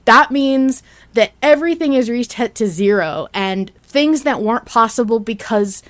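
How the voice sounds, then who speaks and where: Excited lady podcast host, kinda high voice, gushy and emphatic, stumbles over her thoughts. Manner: gushing, emphatic, halting. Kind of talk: excited fan podcast chat.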